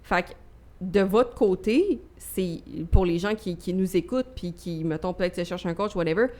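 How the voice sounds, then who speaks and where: A woman's voice: fairly low pitch, moderate pace, darkish tone. A woman with a Quebec French accent co-hosting a podcast, blunt and emphatic, using slang and English words. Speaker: podcast co-host, coach. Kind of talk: casual podcast chat.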